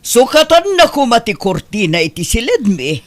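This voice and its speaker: A woman's voice: on the high side, big pitch swings, moderate pace. A woman playing a commanding but generous lady of the house in an Ilocano comedy drama. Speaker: voice actress.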